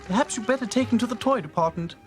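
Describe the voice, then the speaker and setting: A man's voice: extremely high pitch, expressive, quick pace, soft background music, voice pushed up. A man voicing a polite woman shop assistant in a storybook.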